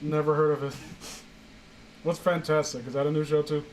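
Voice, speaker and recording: A man's voice: a little high, little pitch movement, normal speed, a little hiss or hum, low-quality recording. A man hosting a comedic reading show, chatting casually with sarcastic, crude banter. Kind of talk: casual joking group commentary and read-aloud.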